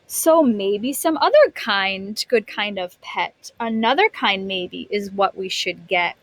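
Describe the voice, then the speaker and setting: A woman's voice: medium pitch, expressive, slow pace, no echo. A woman teacher reading a picture book aloud to young children, expressively, stretching words and putting questions to her listeners.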